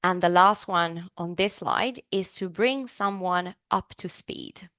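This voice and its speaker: A woman's voice: on the low side, slow pace, a bit bright, dry recording, studio quality. A woman from Serbia, teaching business English in a live online class, upbeat and chatty, asking the class questions.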